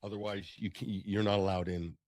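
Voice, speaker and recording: A man speaking as an interview guest, giving conversational political commentary with halting, repeated phrases. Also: deep voice, level tone, a little mellow.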